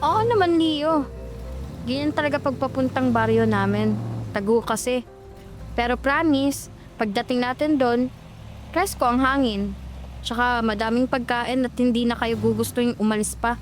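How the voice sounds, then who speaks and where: A woman's voice: on the high side, normal speed, pauses often, faint music behind, close to an everyday voice. A woman voicing a cheerful young woman who sweetly reassures her friends on the way to her home village.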